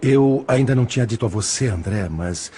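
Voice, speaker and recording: A man's voice: mid-range voice, expressive, brisk, dark tone, faint music behind, close to an everyday voice. A man playing a sorrowful grandfather spirit. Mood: sorrowful, confiding. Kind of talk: audio drama acting.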